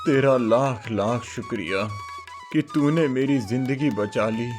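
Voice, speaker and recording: A man's voice: mid-range voice, unhurried, lightly acted. Man acting a humble old wandering fakir, music behind. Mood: grateful, devout. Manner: humble, reverent. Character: thirsty old wandering male fakir, grateful and devout. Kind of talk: Hindi cartoon moral story acting. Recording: music bed under the voice.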